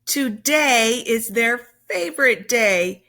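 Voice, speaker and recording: A woman's voice: a little high, expressive, slow, bright, forceful tone. A woman teacher reading a children's story aloud, explaining simply and with emphasis as she goes.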